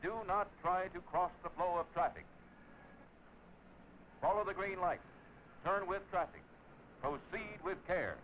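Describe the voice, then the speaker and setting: A man reading an official emergency radio broadcast in a crisp, commanding announcer style. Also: high-pitched, lively intonation, measured pace, long pauses. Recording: dull old radio broadcast with faint hiss.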